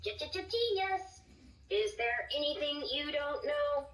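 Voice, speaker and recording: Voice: high voice, expressive, takes it slowly. A voice actor playing a cheerful little toy robot tutor with upbeat, encouraging, sing-song lines, heard through a toy speaker. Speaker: learning toy voice. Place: toy speaker played in a room. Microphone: recorded from a distance. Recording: roomy, distant toy speaker with music.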